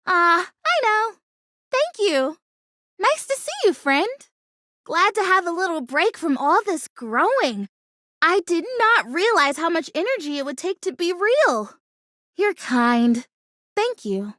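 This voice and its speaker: A sweet little living plant from a video game. The voice is extremely high and swoops around with feeling, in a dry, close studio sound. The talk is folksy, earnest and full of wholesome exclamations, with a proud sense of self. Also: female voice, sing-song, slow pace, pushed and bright, no echo, heavily acted. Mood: cheerful, friendly. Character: sweet, excitable, sentimental living houseplant, female, proudly self-assured. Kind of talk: animated video game character dialogue.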